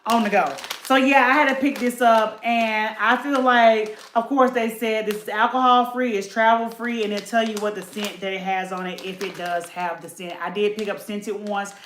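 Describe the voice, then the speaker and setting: A woman's voice: medium pitch, fairly even intonation, moderate pace, few pauses, fairly bright tone, roomy sound, slight background noise. A woman, chatting casually to camera about a beauty haul and addressing viewers as y'all. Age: late 30s.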